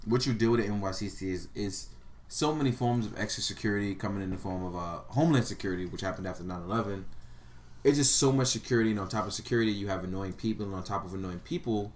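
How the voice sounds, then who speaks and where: A man's voice: on the low side, quick pace. A man from Brooklyn with a New York accent chatting casually as a podcast co-host, brash, profane and emphatic. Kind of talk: casual chat with friends. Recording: faint background noise.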